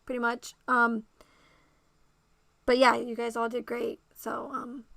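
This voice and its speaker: A woman hosting a casual livestream, talking straight to her viewers by name and repeating herself, her voice going raspy. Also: fairly high pitch, completely flat delivery, slow pace, sharp tone, no room sound. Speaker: livestream host.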